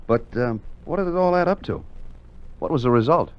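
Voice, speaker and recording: A man acting a plain-spoken everyman in an old-time radio drama, halting and often repeating his words. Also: medium pitch, animated, music in the background, natural voice. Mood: curious, impatient. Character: plain-spoken, hesitant everyman, weary sailor and curious questioner. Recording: muffled lo-fi vintage radio recording.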